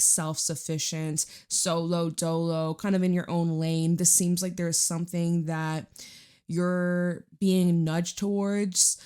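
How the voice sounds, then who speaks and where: A woman with a raspy, hoarse voice giving a casual, chatty tarot reading, informal and emphatic, repeating phrases for emphasis. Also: on the low side, mellow. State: hoarse, recovering from losing voice. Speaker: tarot reader and channel host.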